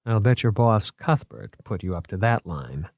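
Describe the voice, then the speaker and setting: A man's voice: fairly low pitch, expressive, moderate pace, darkish tone, no room sound, lightly acted. A man voicing a cool, skeptical young woman. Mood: wary. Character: sharp, skeptical young female grad student. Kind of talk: audiobook character voice.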